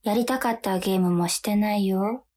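A woman's voice: medium pitch, normal speed, warm tone, lightly acted. A woman playing a cute, seductive demon of May blues in a radio drama, sweetly and languidly coaxing someone to be lazy. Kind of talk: Japanese radio drama acting.

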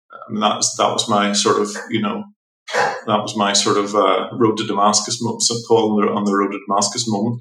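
A middle-aged man from Belfast with a Northern Irish accent, telling his story as an interview guest, rambling and restarting his sentences and trailing off softly. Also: fairly low pitch. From Northern Ireland. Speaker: watchmaker guest. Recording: echoey room.

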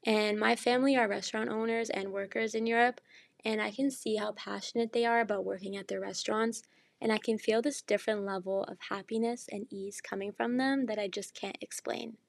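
A young woman hosting her own casual podcast, chatty and enthusiastic, jet-lagged and slightly hoarse as she loses her voice. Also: medium pitch, fairly even intonation, a little fast, no echo, studio quality. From Canada. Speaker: podcast host. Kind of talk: casual solo podcast monologue.

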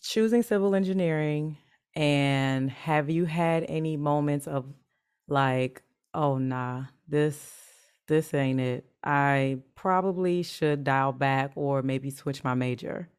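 A woman's voice: low-pitched, slow, darkish tone, clean tone. A woman hosting a podcast, interviewing her mentee and affirming her answers with frequent encouragement. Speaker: podcast host, mentor.

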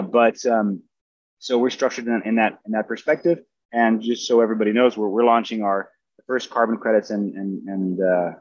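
A man from Brazil speaking as a remote panelist on a video call, talking in a halting way with many fillers. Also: lowish voice, a little mellow, no room sound. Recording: dry, dull lo-fi call audio.

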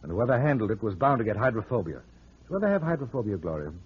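An actor playing a shrewd, sardonic private detective in an old-time radio drama. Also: male voice, fairly low pitch, sing-song, quick pace, slightly rough, heavily acted. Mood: taunting. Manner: sardonic, explaining, teasing. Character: wisecracking, shrewd male private detective, smooth with women. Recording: muffled vintage radio drama recording.

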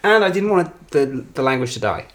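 A man's voice: mid-range voice, sing-song, moderate pace. A man chatting casually in a playful, rambling way. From Wales. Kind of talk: casual chat.

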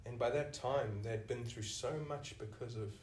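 A man's voice: mid-range voice, normal speed, darkish tone, distant mic, slight background noise. A man with a South African accent, a senior clinician answering interview questions thoughtfully, often restarting with "you know". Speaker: senior clinician, podcast guest. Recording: echoey room, voice off-mic.

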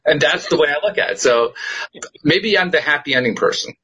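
Animated, slightly husky man chatting. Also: on the high side, brisk, rough recording. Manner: emphatic, animated storyteller who laughs often. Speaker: podcast host and producer. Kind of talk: casual chat.

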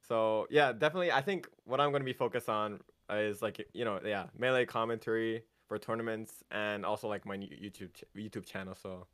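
A young man raised in Japan with an American accent, speaking as a guest in a casual interview, halting, with many fillers and restarts. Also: on the low side, fairly bright tone, no room sound.

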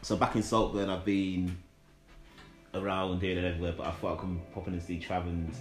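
A man chats as an easygoing host, his voice pitched on the low side. He is matey and informal. The space is echoey, and guitar music plays clearly behind him. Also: little pitch movement, brisk. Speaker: podcast host. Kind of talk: casual chat.

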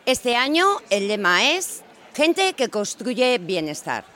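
A woman's voice: fairly high pitch, big pitch swings, takes it slowly, a bit bright, a faint crowd. A woman hosting a live community radio show outdoors, announcing and introducing her guest in a friendly way. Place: outdoors in city park gardens.

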